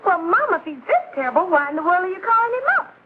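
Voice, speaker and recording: Sweet, high-voiced young bride-to-be. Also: female voice, big pitch swings, racing. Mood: puzzled. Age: young adult. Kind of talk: 1950s television sitcom acting. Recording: tinny vintage TV soundtrack, faint noise.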